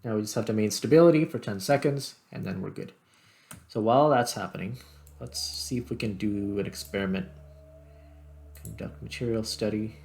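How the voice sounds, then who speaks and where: Gravelly man casually narrating his gameplay. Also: fairly low pitch, a little fast, a little mellow. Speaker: host, gaming video creator. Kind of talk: casual gameplay commentary, thinking aloud.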